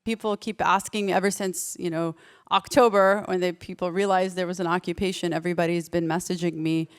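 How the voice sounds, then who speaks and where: Woman doing standup, clean clear voice, chatty and keeps interrupting herself. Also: lowish voice, flat delivery, fairly quick. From United States. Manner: conversational, self-interrupting, acts out quotes. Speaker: stand-up comedian. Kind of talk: stand-up comedy set.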